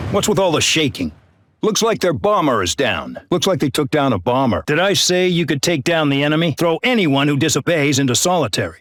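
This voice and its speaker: An actor playing a harsh middle-aged colonel who commands a penal squadron, barking orders and threats with open contempt in a video game. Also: male voice, a little high, no room sound, close to an everyday voice. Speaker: commanding officer giving mission briefings.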